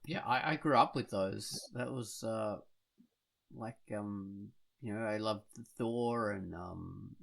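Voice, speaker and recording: Casting: male podcast co-host, low gravelly register, informal and enthusiastic, relaxed conversational delivery. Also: takes it slowly. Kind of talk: casual podcast chat.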